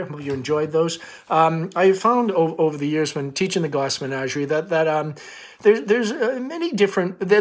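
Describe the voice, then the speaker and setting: Male school principal introducing a read-aloud; informal, hesitant, broken phrasing. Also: fairly high pitch.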